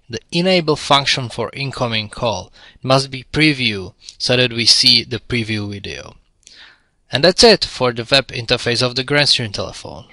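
A man's voice: mid-range voice, bright, forceful tone, no room sound. A man narrating an instructional product demo in a friendly, step-by-step explanatory way. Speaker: tutorial presenter.

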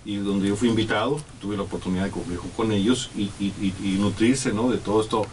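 Mexican male guest, halting speech with fillers, low voice, sits off-mic with faint room hiss. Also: steady tone, a little mellow, recorded from a distance, rough recording. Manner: halting, many fillers and restarts. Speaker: guest artist. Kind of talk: casual radio interview. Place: small radio studio. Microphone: desk studio mic, sitting back.

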